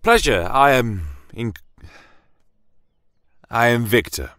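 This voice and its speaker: A man playing a conflicted church inquisitor, courteous, measured and questioning, in a fantasy audio story. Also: mid-range voice, lively intonation, a bit bright, no room sound, studio quality, voice pushed up. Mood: courteous, wary.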